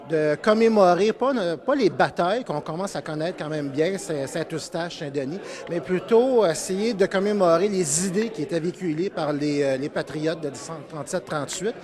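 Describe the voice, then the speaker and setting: A man with a Quebec French accent speaks informally in an interview as a project spokesman, often searching for words. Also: a little high.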